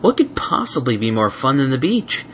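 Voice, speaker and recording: Guy doing a whiny little boy dragon for a story, high excitable voice, swings all over, bit of background noise. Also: normal speed, lightly acted. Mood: excited, whiny. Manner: pleading, indignant, whining. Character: whiny excitable ten-year-old male baby dragon. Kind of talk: fan fiction audiobook character voice.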